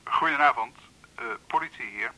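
An actor playing a formal, measured police officer bearing bad news in a radio drama, heard through a telephone. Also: male voice, mid-range voice, sharp tone, low-quality recording. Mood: formal, grave.

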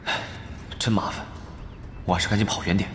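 A man's voice: low voice, fairly even intonation, brisk, noisy background, close to an everyday voice. A man voice actor playing a wary, grumbling young lone gamer in an audio drama, with muttered asides and a Mainland Mandarin accent. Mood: wary, annoyed. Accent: Mainland Chinese Mandarin. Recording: music behind the voice.

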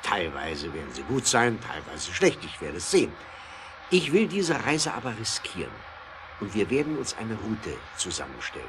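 A resolute, adventurous baron. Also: male voice, on the low side, huge pitch swings, moderate pace, noisy background. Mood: pondering, resolute. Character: adventurous aristocratic male motorist, confident and resolute. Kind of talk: German radio play acting.

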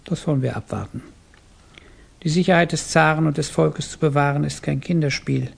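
An actor playing a courteous but coldly menacing Tsarist police chief in a German radio play, formal, probing and gravelly. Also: male voice, a little high, steady tone, brisk, full character voice. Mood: quietly menacing.

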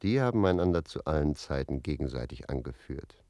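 Very deep, gravelly, sly servant. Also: male voice, big pitch swings, fairly quick, dark tone, dry recording, studio quality, full character voice. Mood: wry, sly. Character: sly, cheeky male servant, worldly storyteller. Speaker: actor. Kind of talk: German radio drama acting.

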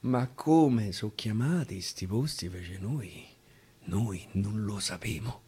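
A man with a Roman accent reciting dialect verse theatrically, swinging from loud declaiming to a hushed whisper. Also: lowish voice, slow pace, breathy edge. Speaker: actor reciting dialect poetry. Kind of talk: theatrical poetry recitation for radio. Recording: live guitar accompaniment.

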